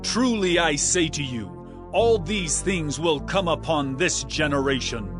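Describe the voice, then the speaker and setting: Impassioned prophetic teacher, declamatory scripture drama, higher-pitched male voice, music behind. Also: expressive. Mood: stern, indignant. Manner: declamatory, emphatic, drawn-out cries.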